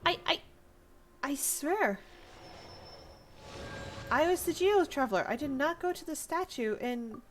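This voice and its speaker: A woman's voice: high-pitched, expressive, unhurried, long pauses, a little hiss or hum. A woman giving casual, rambling live commentary as she streams a video game.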